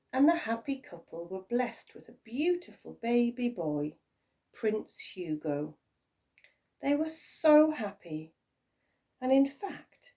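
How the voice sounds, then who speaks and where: Casting: female primary teacher type for children's storytime, dramatic, unhurried, highly animated delivery. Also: recorded from a distance. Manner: expressive, dramatic.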